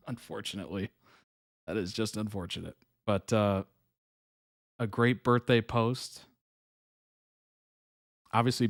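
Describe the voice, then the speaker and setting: A man hosting a reaction video, giving relaxed, chuckling commentary in short approving remarks. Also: on the low side, dry recording, clean studio sound.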